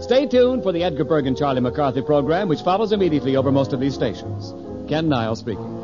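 High, animated male radio announcer. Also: quick pace. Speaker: show announcer. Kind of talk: 1950s radio sign-off. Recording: dull old radio recording. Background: loud music.

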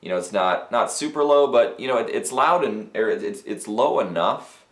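A man's voice: medium pitch, expressive, normal speed. A man in his thirties casually reviewing audio gear to camera, talking technically with fillers and restarts, recorded in a small office. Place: small cluttered office with speakers and mixers. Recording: roomy small-office echo.

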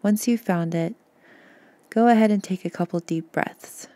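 A woman guiding a meditation in a soft, soothing, gentle voice, breathy at times, with unhurried pauses. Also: mid-range voice, no echo. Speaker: podcast host and meditation guide. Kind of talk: guided meditation narration.

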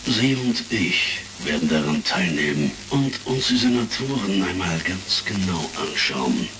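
A man playing an authoritative, deliberate intelligence official in a retro sci-fi audio drama. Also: deep voice, sing-song. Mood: pensive, resolute. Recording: crackly vintage vinyl-style recording, distant.

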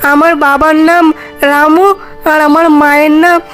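A woman's voice: sits high, level tone, a little slow, a bit bright, soft background music. A woman voicing a timid, mistreated orphan village girl in a cartoon fairy tale, plaintive and childlike.

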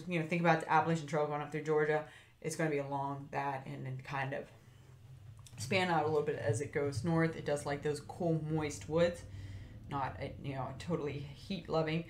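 A woman's voice: low voice, reverberant. A woman hosting a foraging channel, narrating a plant slideshow in a chatty, meandering way with fillers and self-corrections. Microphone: voice-over over slides.

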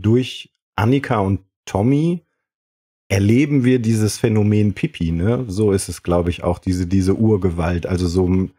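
A man's voice: on the low side, dark tone, husky. A man talking casually as a podcast panelist. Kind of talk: casual discussion.

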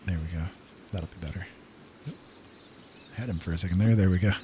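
A man's voice: low voice, a little fast, noisy background. A man doing casual let's play commentary as a gaming host, thinking aloud as he plays. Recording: game music behind voice. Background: game music and outdoor nature sounds.

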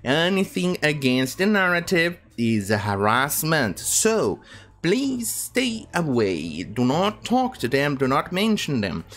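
A man's voice: a little high, sing-song, takes it slowly, fairly bright tone. A man in his thirties, not a native English speaker, hosting an indignant, rambling commentary video from home. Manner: indignant, rambling, many fillers. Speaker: commentary channel host. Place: home room with bookshelves.

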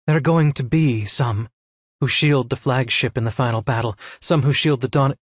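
A man's voice: medium pitch, moderate pace, no room sound, studio quality, pitched above the performer's own voice, close to an everyday voice. A man voicing a tearful but resolute young woman in an audiobook, raising his voice to an earnest, pleading tone.

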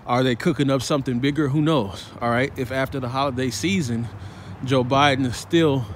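A man records himself on a phone as he walks along a city street. He talks in a preachy, slangy way, pausing again and again to check in with "all right?" and "man". Faint traffic and street sounds sit behind him. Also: medium pitch. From Chicago, United States. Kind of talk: walking vlog commentary. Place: city sidewalk outdoors, walking. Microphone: phone held while walking. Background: faint traffic and street ambience.

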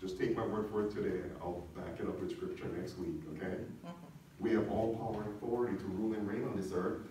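A male pastor teaches from a church stage in a conversational sermon style, often checking in with questions. His voice is soft-edged and mellow. It is picked up from a distance, with heavy room echo and a little background noise. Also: lowish voice, steady tone, quick pace. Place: church sanctuary, speaking from the stage. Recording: echoey church hall, distant mic, faint noise.

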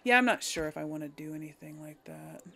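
A woman's voice: sits low, lively intonation, quick pace, vocal fry, pushed and bright. A woman hosting a let's play, thinking aloud in casual, hesitant gameplay commentary. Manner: musing aloud, hesitant deliberation.

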